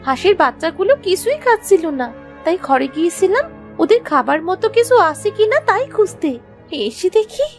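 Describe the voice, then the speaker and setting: A woman voicing an eager, excitable young village daughter in a children's cartoon story. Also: extremely high pitch, a little fast, soft background music, voice pushed up, exaggerated voice. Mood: worried.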